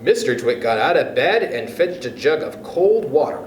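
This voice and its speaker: A man reading a children's story aloud as a storyteller, in an animated, expressive way. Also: mid-range voice, moderate pace, recorded from a distance. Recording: roomy echo with faint background noise.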